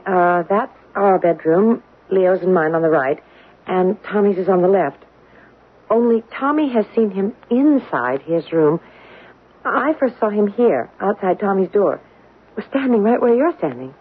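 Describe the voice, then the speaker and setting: An actress playing an anxious young mother who fears a ghost in her house, speaking nervously with stammers and a breathy voice in a 1970s radio drama. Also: mid-range voice, animated, unhurried. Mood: scared. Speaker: frightened homeowner. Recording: narrow-band old radio broadcast.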